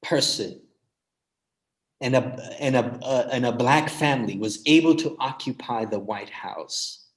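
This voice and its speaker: A man's voice: mid-range voice, a little slow, reverberant. A man speaking as a guest scholar in a panel talk, making emphatic, thoughtful points and often checking them with a short tag question.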